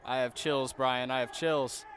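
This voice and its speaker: A man's voice: medium pitch, steady tone, unhurried. A man giving enthusiastic, casual color commentary on a college basketball game. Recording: crowd and court noise behind the commentary.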